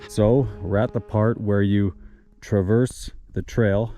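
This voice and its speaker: A man with a naturally loud voice giving casual commentary outdoors on a mountain trail, relaxed, with many filler uhs. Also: lowish voice, takes it slowly, no echo. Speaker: trail running vlogger. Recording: music playing behind the voice.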